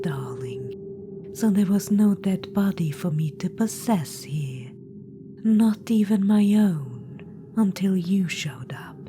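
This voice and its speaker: A woman voicing an ancient undead witch-queen spirit in an ASMR roleplay, whispering in a purring, patronizing way. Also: medium pitch. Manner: purring, patronizing, calls listener darling.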